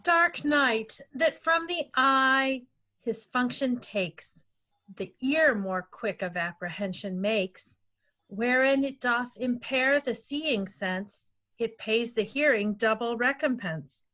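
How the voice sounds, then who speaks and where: A woman's voice: a little high, slow, pushed and bright. A woman playing a bewildered, lovelorn young woman in an amateur Shakespeare verse table read. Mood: searching, puzzled.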